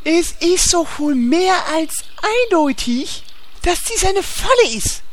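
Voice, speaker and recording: A man voicing an excitable, paranoid, dim-witted male adventurer in a German comedy fantasy audio drama, rambling in alarm. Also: extremely high pitch, slow, exaggerated voice.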